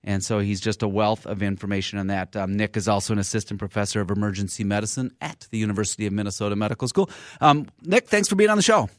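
A man's voice: lowish voice, quick pace, no echo, clean studio sound. A man hosting a radio health show as a physician, chatting casually with many fillers and some friendly teasing. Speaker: radio show host, internal medicine physician.

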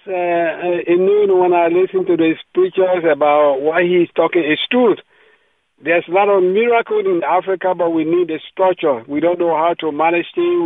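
Guy calling in, earnest and halting, slightly high voice, tinny phone line. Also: dry recording. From Liberia. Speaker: caller.

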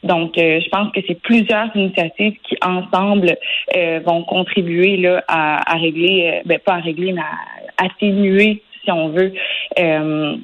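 A woman in her twenties with a Québécois French accent, a lawyer answering interview questions earnestly, often pausing with fillers and restarting phrases. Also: lowish voice, steady tone, narrow, tinny audio. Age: 20s. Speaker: lawyer guest.

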